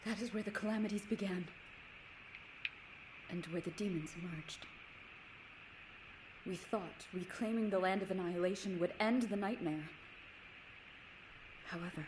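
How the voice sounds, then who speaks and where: A woman's voice: mid-range voice, normal speed, pauses often, noisy background, natural voice. A woman playing a proud, righteous ancient knight in a video game, speaking solemnly in archaic, formal phrases. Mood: haunted, somber. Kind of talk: scripted video game dialogue.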